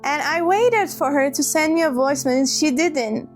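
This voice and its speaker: A woman's voice: sits high, animated, normal speed, soft background music. A woman telling a personal story to camera, hurt and hesitant, often restarting her words. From Iran. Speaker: vlogger.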